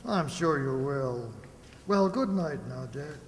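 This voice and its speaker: A man playing a kindly, authoritative sea captain in an amateur radio play, calm and reassuring. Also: on the high side. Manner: reassuring, measured. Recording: echoey hall, long reverb, background noise.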